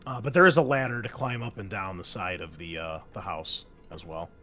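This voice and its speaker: A man's voice: deep voice, fairly quick, fairly bright tone, soft background music. A man running an online tabletop game as game master, rambling with many fillers and self-corrections.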